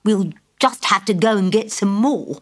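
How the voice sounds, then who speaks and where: A woman voicing a calm, matter-of-fact male piggy bank that talks in a slow, unflustered way and is sometimes cross. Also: medium pitch, natural voice. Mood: impatient.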